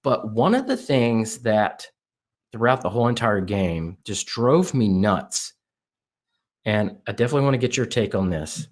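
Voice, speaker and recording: A man hosting a casual soccer podcast, eager and talking in quick bursts as he asks his guest questions. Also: fairly low pitch, very animated. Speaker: podcast host.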